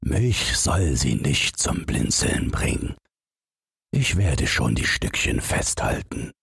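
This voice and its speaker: A naive storybook snowman, voiced by a male reader, low and very husky. He talks slowly and musingly, full of stubborn self-importance. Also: big pitch swings, a bit bright, dry recording, studio quality, full character voice. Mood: proud, defiant. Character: naive newborn snowman, proud, puzzled and stubborn.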